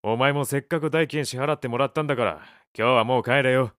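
An actor playing a blunt, casual young man in an audio drama, gruff yet caring, with rough informal speech. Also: mid-range voice, fast talker, a bit bright, no room sound, studio quality, natural voice. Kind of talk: Japanese audio drama acting.

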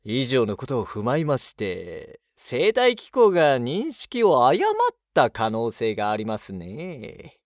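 A courteous, analytical technician from a radio play. He explains at an unhurried pace with widely swinging intonation, in formal, slightly theatrical language. The recording is dry and close. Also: mid-range voice, huge pitch swings, dry recording, full character voice. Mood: explaining. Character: polite, analytical male technician of living machines, explains patiently. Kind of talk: Japanese audio drama acting.

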